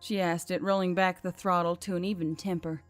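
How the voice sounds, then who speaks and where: A woman's voice: lowish voice, level tone, brisk, no echo. A woman reading scripted fiction as an audiobook narrator. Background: background music.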